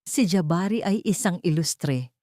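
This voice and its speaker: Domineering older mother-in-law, audio story, female narrator acting: sharp, scornful, lowish pitch. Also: expressive, a little slow, no echo, studio quality. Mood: cutting. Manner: sharp, cutting, imperious.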